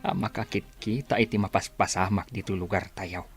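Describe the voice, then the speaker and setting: A man with an Ilocano accent playing a worried, husky-voiced villager in a radio drama. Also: low voice, fairly even intonation, fairly quick, faint music behind. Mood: troubled. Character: worried male villager lamenting hard times.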